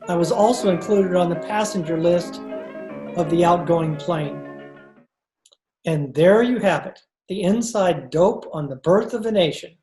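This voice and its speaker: A man's voice: sits high, a little slow, warm tone, faint music behind. A man playing a wry, roguish American adventurer in an old-time radio drama, casually telling his story. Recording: roomy home remote recording.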